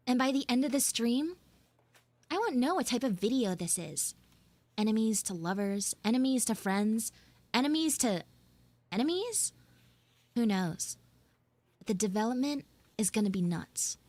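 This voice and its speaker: A woman live streaming an audio roleplay as a bossy, secretive tsundere schoolgirl, halting and teasing, slipping into hushed asides. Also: a little high, dry recording. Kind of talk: live in-character audio roleplay with chat banter.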